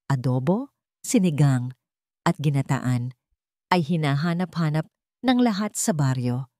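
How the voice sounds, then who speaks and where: A woman's voice: on the low side, mellow, no echo, clean studio sound. A woman with a Filipino accent reading a scripted folktale for children as a storyteller. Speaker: storyteller and channel host.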